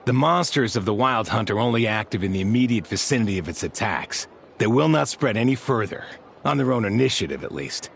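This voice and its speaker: A man's voice: lowish voice, expressive, fairly quick, gravelly, a little hiss or hum. A man voicing a composed, dutiful soldier in a video game, speaking formally and with courteous reserve. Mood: serious, reassuring.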